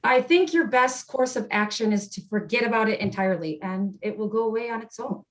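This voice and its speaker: Woman as patient doctor, clinical, measured, fairly high voice, explanatory, stage-play reading. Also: natural voice. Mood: professional, patient. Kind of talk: live play reading over video call. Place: indoors, remote video call performance.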